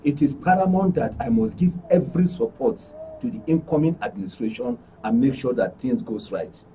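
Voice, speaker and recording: A man's voice: mid-range voice, lively intonation, brisk, mellow. A Nigerian man speaking earnestly and formally as a guest on a studio panel. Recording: dull, distant mic with background noise.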